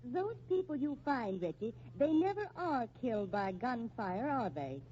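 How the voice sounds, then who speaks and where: An actress playing a sarcastic, dogged newspaper reporter who taunts and wisecracks in a 1950s radio drama. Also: female voice, fairly high pitch, big pitch swings, a little slow, mellow, husky, heavily acted. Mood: sarcastic needling. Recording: muffled, noisy old radio recording.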